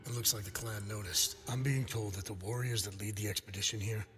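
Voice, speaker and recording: Actor as measured, low-voiced military intelligence officer. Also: male voice, steady tone, a bit bright, big-room sound, natural voice. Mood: firm, pressing. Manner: formal, explanatory. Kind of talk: game cutscene dialogue.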